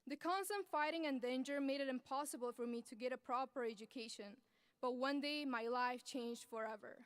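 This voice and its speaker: Young woman from afghanistan giving her grad speech, high clear voice, earnest, podium mic, dry sound. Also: dry recording. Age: young adult. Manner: earnest, measured speech delivery. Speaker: graduating student speaker. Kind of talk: graduation speech. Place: large ceremony venue.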